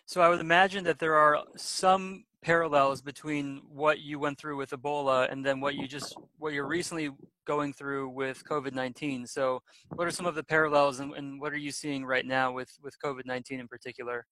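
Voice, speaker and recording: Upbeat male podcast host with a slightly raised pitch, dry close recording. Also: little pitch movement, brisk, pushed and bright, no echo, rough recording. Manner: upbeat, a bit rambling questions. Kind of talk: podcast interview.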